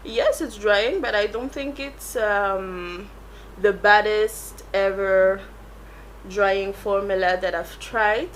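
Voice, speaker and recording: Slow-paced French woman, rambling makeup chat. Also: mid-range voice, slight background noise. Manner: rambling, searching for English words. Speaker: beauty YouTuber. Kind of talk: casual makeup tutorial chat.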